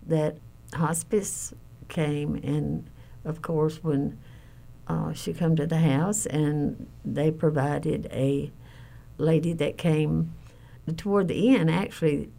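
A middle-aged woman recalling family caregiving in an interview, speaking plainly, haltingly and earnestly. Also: sits low, creaky, mellow. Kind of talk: personal interview recollection.

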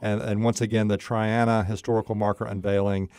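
Deep-voiced man hosting local radio. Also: little pitch movement, fairly quick, a little mellow, clear, resonant tone, dry recording. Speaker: radio show host. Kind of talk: conversational local radio interview.

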